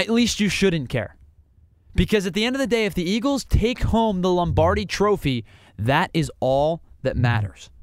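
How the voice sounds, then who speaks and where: A man's voice: high-pitched, no echo, studio quality. A man hosting a sports podcast, delivering an emphatic solo analysis that builds with rhetorical questions. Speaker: podcast host.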